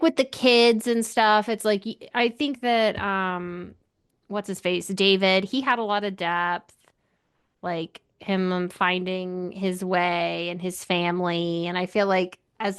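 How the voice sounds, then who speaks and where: A woman's voice: lowish voice, smooth. A woman co-hosting a book podcast in casual chat, speaking in an informal, opinionated and emphatic way.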